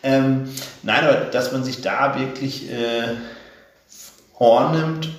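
A man's voice: mid-range voice, slow pace, very echoey. A man giving thoughtful, earnest interview answers. He stutters now and then, repeating words and breaking off sounds, with many fillers. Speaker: guest.

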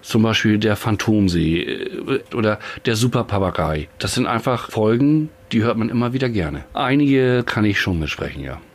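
Low-voiced middle-aged man chatting in an interview. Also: animated, normal speed, few pauses. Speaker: interviewed radio play fan. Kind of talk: casual interview answers.

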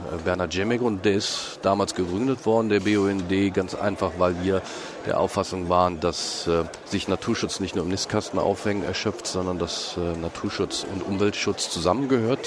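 A man's voice: deep voice, steady tone, normal speed. A man from Cologne, guest on a podcast, explaining at length with many hesitations, recorded in a busy parliament foyer. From Cologne, Germany. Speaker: guest, head of a state environmental association. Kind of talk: podcast interview answers. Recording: noisy public lobby.

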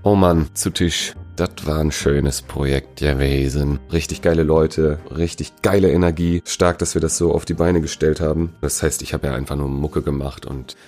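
A man with a very low, warm voice chats casually as a musician being interviewed. He is slangy and loose, teasing as he talks. Faint music plays behind him. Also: steady tone, normal speed, hardly any pauses.

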